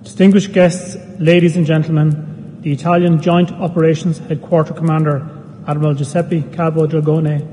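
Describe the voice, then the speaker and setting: A man announces formally at a ceremony in a higher-pitched, monotone voice. The tone is mellow, and it carries through a reverberant hall. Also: moderate pace. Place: large indoor ceremony hall. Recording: reverberant hall, faint noise.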